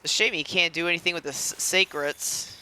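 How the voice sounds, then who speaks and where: A man chatting casually through a video game playthrough. His voice is fairly high, bright and very clear, and he rambles in a self-deprecating way. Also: fairly quick, poor audio. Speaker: gaming video creator.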